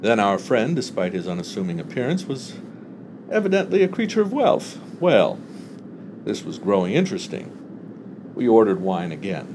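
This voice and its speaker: A man narrating a literary short story as an audiobook reader, with expressive delivery. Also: fairly low pitch, moderate pace, noisy background.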